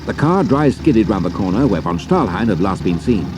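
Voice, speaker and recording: A man's voice: fairly low pitch, sing-song, moderate pace, noisy background. A man with a British accent reading scripted adventure narration in a dramatic storytelling style.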